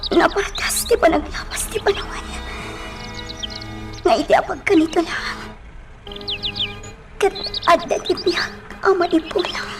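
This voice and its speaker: High-voiced, lovelorn sweetheart. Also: female voice, measured pace, pauses often, over music. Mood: sorrowful pleading. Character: anxious, lovelorn woman pleading with her sweetheart. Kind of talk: sentimental radio drama acting.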